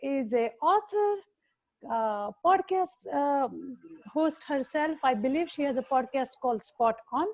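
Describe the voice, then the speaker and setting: A woman's voice: sits high, animated, a little slow, rough recording. A woman with an Indian accent hosting an interview chat, speaking hesitantly with many fillers. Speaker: host, dietitian.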